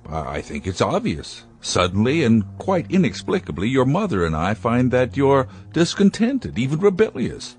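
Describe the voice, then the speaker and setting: An actor playing a proud, domineering inventor and father who speaks in a smooth, patronizing way in a radio drama. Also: mid-range voice, huge pitch swings, normal speed, few pauses, faint music behind.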